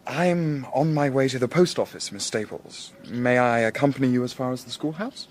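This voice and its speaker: An actor playing a polite, measured Victorian gentleman of scientific mind in a period radio drama. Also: mid-range voice, slight background noise, lightly acted. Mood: courteous.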